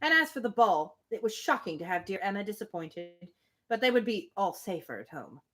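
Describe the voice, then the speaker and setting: A woman's voice: medium pitch, sing-song, fairly quick, fairly bright tone, faint music behind. A woman reading a novel aloud on a livestream, breaking off for casual, opinionated asides. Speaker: reader on a livestream. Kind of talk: live book reading with casual commentary.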